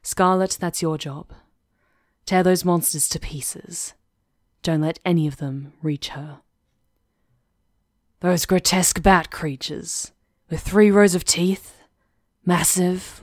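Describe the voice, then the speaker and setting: Sly female witch conspirator for ASMR drama, acted by a woman: slightly low, deliberate, self-possessed. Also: steady tone, no room sound, studio quality. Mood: instructing. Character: composed, sly female witch conspirator plotting against a countess. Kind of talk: ASMR roleplay acting.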